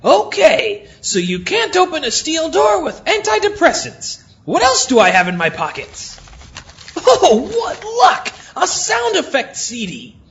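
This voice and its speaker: Hapless bumbler, high voice, slow self-narrating melodrama, comic audio play. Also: male voice, huge pitch swings, a bit bright, heavily acted. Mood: bumbling, triumphant. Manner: melodramatic, narrating own mishaps aloud. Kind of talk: exaggerated comic audio drama acting. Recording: dull lo-fi recording with music. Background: music.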